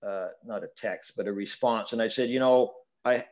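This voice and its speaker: A man's voice: on the low side, moderate pace. An older retired man chatting as a podcast guest, rambling with many restarts and filler phrases, joking about himself. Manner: rambling, self-deprecating, many "you know"s and restarts. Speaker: podcast guest, hobby builder. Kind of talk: casual interview chat.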